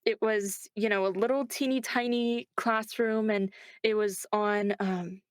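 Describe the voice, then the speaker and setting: A woman's voice: medium pitch, steady tone, unhurried, smooth, no echo, studio quality. A woman voice actor being interviewed, talking conversationally and reflectively, often breaking off to correct herself. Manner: chatty, self-interrupting, reflective.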